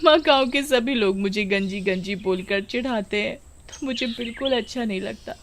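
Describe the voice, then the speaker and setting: A woman voicing a sensitive, naive village girl with plaintive, expressive delivery in an animated story. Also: a little high, moderate pace, a little hiss or hum, close to an everyday voice. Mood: tearful, hurt.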